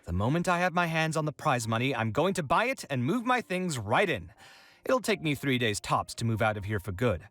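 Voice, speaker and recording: Exasperated, theatrical young architect, lively pitch. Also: male voice, fairly quick. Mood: matter-of-fact. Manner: theatrical, indignant, wordy. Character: exasperated, dramatic young male architect, proud and self-pitying. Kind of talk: video game voice acting. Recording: game audio with music behind.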